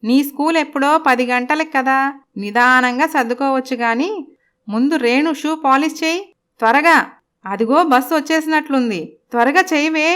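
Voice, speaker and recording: High-pitched nagging stepmother, acted by a woman. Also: normal speed, a bit bright. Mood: scolding. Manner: nagging, emphatic. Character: harsh, scolding stepmother who dotes on her own daughter. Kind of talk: Telugu animated moral story acting.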